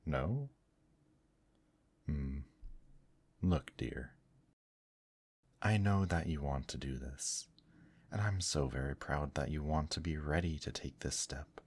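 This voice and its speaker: Man acting a very deep, husky, gentle demon. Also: no room sound, full character voice. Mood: concerned, then firm, caring. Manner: soft, tender, reassuring, unhurried. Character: gentle male demon, soothing, teasing, protective companion. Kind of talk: intimate ASMR roleplay addressed to the listener.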